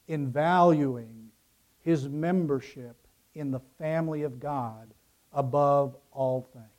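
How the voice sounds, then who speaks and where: A male pastor delivers a sermon in a slightly high, mellow voice. He takes his time, setting out short emphatic phrases and letting their endings drop away softly. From Ecuador, raised by American missionary parents. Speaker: pastor preaching to his congregation.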